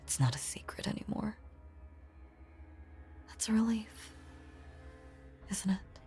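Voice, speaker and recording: A woman voicing a lonely, possessive young ghost in an intimate audio roleplay, speaking in a hushed, halting, breathy voice that is tender and sweetly sinister. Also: medium pitch, animated, faint music behind. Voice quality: breathy, often slipping into whisper.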